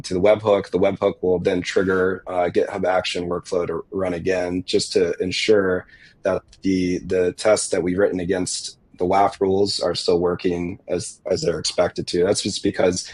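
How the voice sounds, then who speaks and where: A man's voice: low-pitched, a little mellow, dry recording, rough recording. A man explaining a technical workflow over a video call, losing his voice, talking steadily with fillers. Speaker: security engineer guest.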